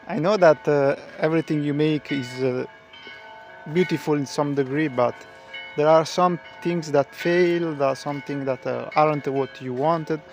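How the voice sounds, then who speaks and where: Halting man commenting over a stream. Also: on the high side, faint music behind. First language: Italian. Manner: halting, searching for words, many fillers. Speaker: reaction video host.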